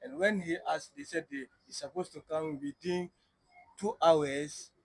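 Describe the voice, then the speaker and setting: A man interpreting between guest and host, relaying slowly with halting pauses and fillers. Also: on the high side, breathy edge, no echo, low-quality recording. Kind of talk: interpreting in conversation.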